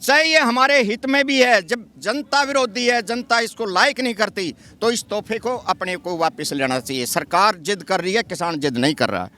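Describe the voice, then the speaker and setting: A man's voice: sits high, moderate pace, few pauses, sharp tone. An older man from Haryana, a protesting farmer, arguing his points emphatically. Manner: emphatic, argumentative.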